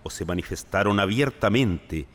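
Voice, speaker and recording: A man's voice: lowish voice, expressive, moderate pace, fairly bright tone. A man delivering solemn, scripted narration.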